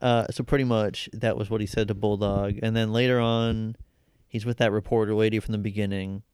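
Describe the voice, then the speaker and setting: Smooth-voiced man riffing on a bad movie. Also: on the low side, little pitch movement, brisk, darkish tone, dry recording, studio quality. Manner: laughs while retelling scenes, loose and joking. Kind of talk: casual movie review chat.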